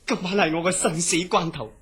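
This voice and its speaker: A man's voice: high voice, fairly quick, a bit bright, slightly rough, full character voice. Man acting a terrified, pleading hospital patient. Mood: panicked pleading. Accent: Hong Kong Cantonese. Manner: pleading, overwrought. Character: terrified male mental hospital patient dreading his nightmares. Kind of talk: Cantonese horror radio drama acting.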